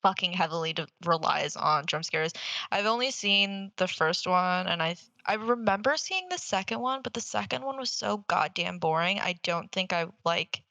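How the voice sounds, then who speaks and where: A woman's voice: fairly low pitch, animated, moderate pace, vocal fry, bright, forceful tone, no room sound. A young woman co-hosting a casual movie podcast, blunt and sweary, given to hyperbole. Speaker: podcast host.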